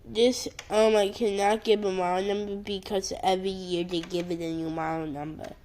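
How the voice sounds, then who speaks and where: Someone presenting a casual unboxing vlog in short, halting phrases. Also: mid-range voice, level tone, a little mellow. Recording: noisy home video with clicks and handling.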